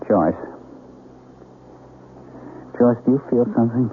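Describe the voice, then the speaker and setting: An actor playing an anxious young father in a radio drama, earnest, hesitant and halting. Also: mid-range voice, lightly acted. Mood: troubled, brooding. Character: anxious, hesitant husband and new father, former engineer. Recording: tinny, hissy old radio broadcast.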